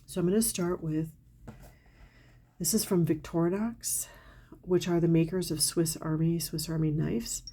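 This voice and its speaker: A middle-aged woman talks casually and candidly to her viewers about perfumes she bought. Her voice sits low and mellow with a gravelly edge. She sounds a little congested from a cold, and she is openly enthusiastic. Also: steady tone, unhurried, pauses often. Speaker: perfume reviewer, channel host. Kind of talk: casual product haul talk to camera.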